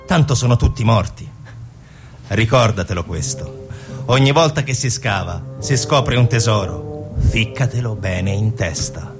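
An actor playing a brash, cynical crooked building contractor in a radio drama, dismissive and blunt, with a husky voice. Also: male voice, lowish voice, animated, measured pace, music in the background. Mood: dismissive, menacing.

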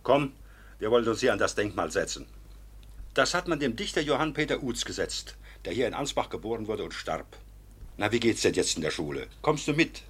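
Kindly older official in a radio play, husky lowish voice, patient n fatherly. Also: sing-song. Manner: paternal, measured, gently admonishing. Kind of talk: German radio drama acting.

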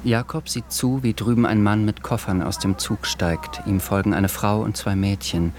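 An actor serving as a sober, observant narrator, reading literary scene descriptions in a radio drama. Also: male voice, on the low side, steady tone, normal speed, darkish tone, lightly acted.

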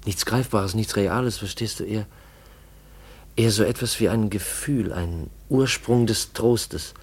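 An actor playing an earnest, brooding young man in a 1950s German radio play, with halting, reflective and intense delivery. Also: lowish voice, husky. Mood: brooding, urgent. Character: earnest, brooding young nephew searching for his dead uncle's secret. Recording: 1950s radio drama recording.

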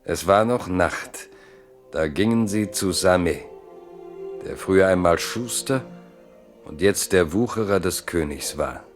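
A man acting as the grave literary narrator of a historical German radio drama, with deliberate delivery. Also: sits low, lively intonation, faint music behind. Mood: dramatic.

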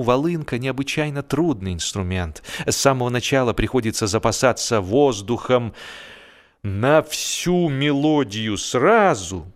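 A man performing a humorous literary narration in an expressive, mock-serious manner with dramatic pauses. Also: medium pitch.

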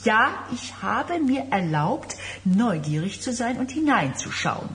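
An actress playing an anxious, hurt pregnant wife in a German radio drama. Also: female voice, on the high side, big pitch swings, takes it slowly, fairly bright tone, slight background noise, exaggerated voice. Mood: chatty, mildly reproachful.

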